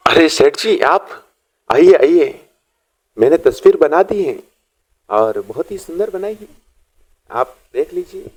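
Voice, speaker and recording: A humble painter in a cartoon moral tale, voiced by a male storyteller. He speaks slowly in a high male register. His manner is eager, polite and deferential. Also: expressive, a little mellow, slight background noise.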